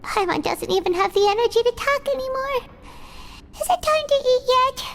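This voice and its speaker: Very high, squeaky excitable little fairy girl. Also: moderate pace, full character voice. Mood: exhausted whining. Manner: whiny, exclaiming, speaks of herself in third person. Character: tiny excitable floating fairy-like girl companion, always hungry. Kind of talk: anime-style video game voice acting.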